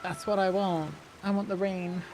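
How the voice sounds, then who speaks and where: A man livestreaming a game, excitable, casually commenting and reading dialogue aloud, with exclamations. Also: sits high, background noise. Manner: excitable, reacts with gasps and drawn-out exclamations. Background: game music.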